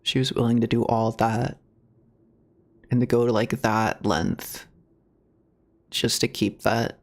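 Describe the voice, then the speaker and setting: A man's voice: mid-range voice, fairly even intonation, slow, vocal fry. A man giving casual live reactions as a game streamer, rambling and searching for words.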